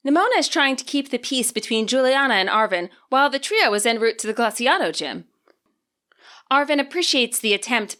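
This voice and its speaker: Expressive woman narrating a story. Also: a little high. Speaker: fanfiction author reading her podfic. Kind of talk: audiobook narration.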